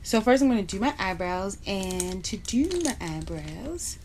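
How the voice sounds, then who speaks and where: A woman's voice: mid-range voice, animated, unhurried, a little mellow, slight background noise. A young woman from Barbados chatting bluntly and casually while doing her makeup for a vlog, dropping in slang asides. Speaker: beauty vlogger.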